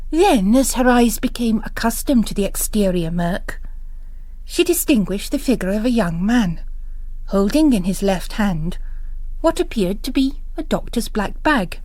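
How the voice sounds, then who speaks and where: A woman's voice: mid-range voice, very animated, unhurried, a little mellow, dry recording. A woman giving a scripted literary narration of a novel.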